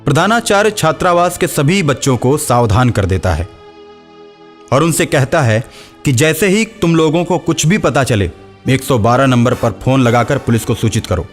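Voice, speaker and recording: A man's voice: medium pitch, expressive, moderate pace, faint music behind. A man narrating a scripted horror story as the storyteller.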